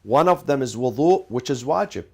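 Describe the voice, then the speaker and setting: A man's voice: mid-range voice, expressive, normal speed, creaky. A man teaching a class, posing rhetorical questions, answering them himself and repeating key phrases, with Arabic legal terms mixed in. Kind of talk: classroom lecture.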